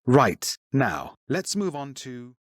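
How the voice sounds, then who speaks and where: A man plays a careers advisor in a scripted textbook listening dialogue. He asks polite, businesslike questions, and his pitch is lively and varied. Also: slow.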